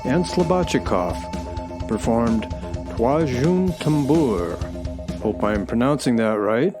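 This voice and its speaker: A man announcing the closing credits of a radio show in a friendly, easy manner. His pitch moves in a lively way, and music plays clearly behind him. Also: slow pace.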